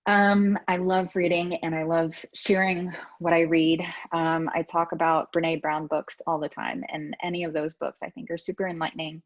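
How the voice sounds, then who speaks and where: Podcast host, Texan woman, low pitch, relaxed and chatty, lo-fi remote call sound. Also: normal speed, few pauses. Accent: Texas. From United States. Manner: relaxed, with frequent ums and restarts. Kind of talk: casual conversational interview. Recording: dull lo-fi audio.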